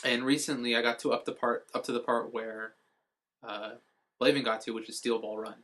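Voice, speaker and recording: Bright-voiced man chatting casually. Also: mid-range voice, steady tone, brisk. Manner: rambling, explaining, swears casually. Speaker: podcast co-host. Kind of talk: casual chat.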